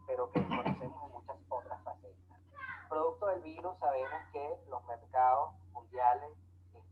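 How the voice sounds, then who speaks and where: A man from Venezuela, giving a measured online lecture with frequent hesitations. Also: mid-range voice. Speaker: lecturer on tax law. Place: home, remote online talk. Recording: tinny phone-like audio, faint noise.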